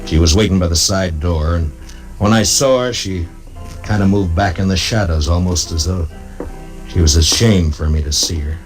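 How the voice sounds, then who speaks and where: An actor playing a stoic, weary US Marshal who narrates his own Western radio story in a plainspoken, halting, reflective way. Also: sits low, natural voice. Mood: wistful, tender. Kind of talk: 1950s Western radio drama acting and narration. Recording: old radio drama recording with music behind.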